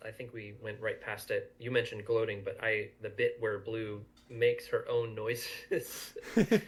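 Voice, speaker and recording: A man's voice: on the low side, steady tone, a little slow. A man from an audio drama crew, giving casual production commentary in conversation.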